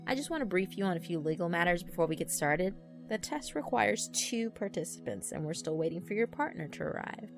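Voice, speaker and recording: A woman's voice: fairly low pitch, lively intonation, fairly quick, creaky. A woman voicing a polite, confident, insincere male company assistant in a narrated story, with a businesslike manner. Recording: background music under the voice.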